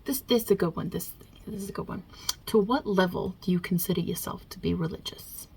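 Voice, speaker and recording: Woman doing a husky, low-voiced New York Italian mob-boss God. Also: fairly quick, darkish tone, heavily acted. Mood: dry, teasing. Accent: put-on Italian-American New York. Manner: hesitant, wry, muttering asides. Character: non-binary Italian mob boss God, wry, casual interviewer. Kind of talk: ASMR roleplay.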